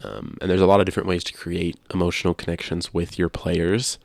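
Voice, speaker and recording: A man with a low voice talks casually as a podcast co-host, recorded dry with very little room sound. He stresses his points and often restates them for emphasis. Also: flat delivery, fairly quick, gravelly, dry recording. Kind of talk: casual discussion of video games.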